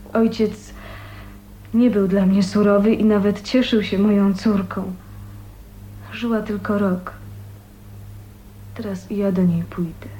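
An actress in a radio drama playing a gentle, dying young woman who confides hesitantly and earnestly. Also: mid-range voice, lightly acted, low-quality recording. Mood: resigned sorrow. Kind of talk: 1980s radio drama acting. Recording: faint noise on radio recording.

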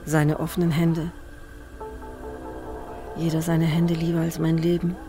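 Grieving wartime woman, subdued, even delivery. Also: sits low, a little slow. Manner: sparse, fragmented, inward narration in short phrases. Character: grieving woman waiting for her missing man in wartime. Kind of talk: German radio drama, literary monologue. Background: music bed.

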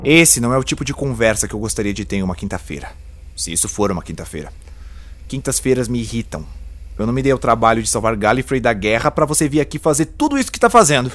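An actor playing a weary, irritable time-traveling hero in an audio drama, with a wry, flippant and dramatic delivery. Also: male voice, medium pitch, sing-song, quick pace, close to an everyday voice. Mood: exasperated. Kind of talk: scripted audio drama acting.

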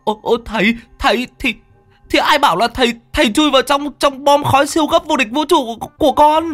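A man voicing a bumbling, bragging young male disciple who stammers and blusters, much higher than his own voice. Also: brisk, fairly bright tone, soft background music. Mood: defensive. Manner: stammering, cocky then frantic.

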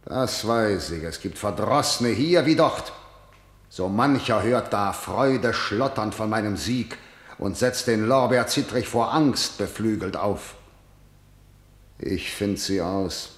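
An actor playing an imperious, suspicious king in a verse tragedy radio play, with measured, commanding declamation. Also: fairly low pitch, animated, reverberant. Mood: angry, contemptuous. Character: stern, threatening male king, imperious and suspicious.

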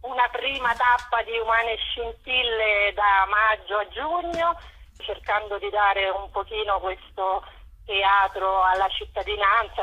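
A woman's voice: mid-range voice, pushed and bright, husky. A woman guest on a radio interview, informally presenting a programme as its organiser over the telephone. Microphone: telephone call. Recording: muffled, lo-fi telephone line.

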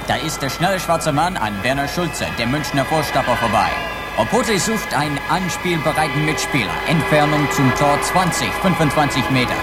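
A man playing an excitable TV football commentator in a radio drama, following the play as it happens. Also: fairly high pitch, lightly acted. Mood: excited. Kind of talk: live sports commentary in a satirical radio drama.

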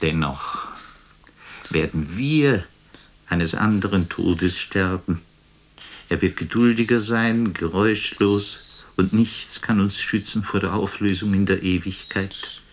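Slow, low-voiced, anguished stage actor. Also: male voice, slight background noise. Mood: melancholic, lyrical. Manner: declamatory, poetic, swinging from hushed murmur to impassioned outcry. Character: anguished, self-doubting male stage actor, melancholy and theatrical. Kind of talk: theatrical radio drama monologue.

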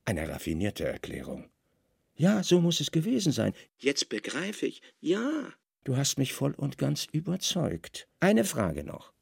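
A man playing a weary, brooding Roman governor with a sharp, commanding mind, in a literary radio drama. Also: medium pitch, huge pitch swings, measured pace, creaky, husky, dry recording, studio quality, pitched above the performer's own voice, heavily acted. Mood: wry, curious.

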